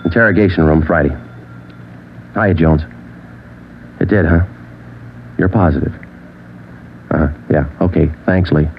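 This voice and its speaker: A terse police detective in an old radio crime drama. His voice is low and he speaks briskly and matter-of-factly. The recording is muffled with some hiss. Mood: pressing, matter-of-fact. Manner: clipped, matter-of-fact. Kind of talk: 1940s radio crime drama, understated acting.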